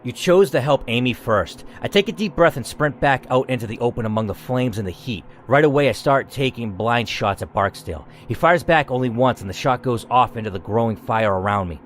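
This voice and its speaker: A man's voice: mid-range voice, animated, fairly quick, few pauses, a little hiss or hum. A man narrating a story game dramatically and reacting to its choices with emphatic commentary, as a gaming host.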